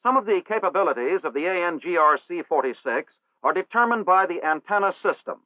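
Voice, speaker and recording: A man reads a scripted training film narration in a formal, measured way. His voice sits fairly high, and the sound is dry. It comes through a thin, tinny 1960s film soundtrack. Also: animated, no room sound.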